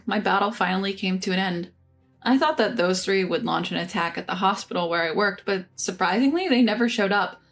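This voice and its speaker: A woman narrating a scripted first-person revenge story in an even, measured reading. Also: medium pitch.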